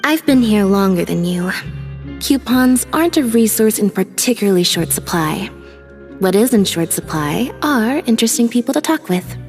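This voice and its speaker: A woman voicing an aloof but kind young woman in a video game, speaking in a composed, deadpan way. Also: mid-range voice, normal speed, faint music behind, lightly acted. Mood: calm, dry. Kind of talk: animated video game dialogue.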